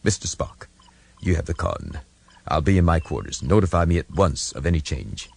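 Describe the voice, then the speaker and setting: Man as starship captain, weary, decisive, low husky voice, science fiction audiobook. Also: big pitch swings, moderate pace, darkish tone, exaggerated voice. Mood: tired, commanding.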